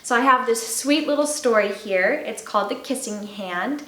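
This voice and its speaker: A woman's voice: a little high, normal speed, a bit bright, echoey room, rough recording. A woman speaking as a storybook reader for kindergarten children. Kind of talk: children's story read-aloud with personal introduction.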